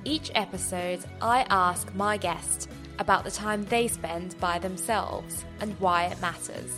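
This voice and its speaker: A woman hosting a podcast, reading a measured scripted introduction and then asking her guest questions. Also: medium pitch, music in the background. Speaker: podcast host.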